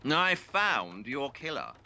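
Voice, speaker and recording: A man playing a brisk, self-assured Victorian detective, clipped and superior, in an audio drama. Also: medium pitch, very animated, bright, forceful tone, slight background noise, exaggerated voice.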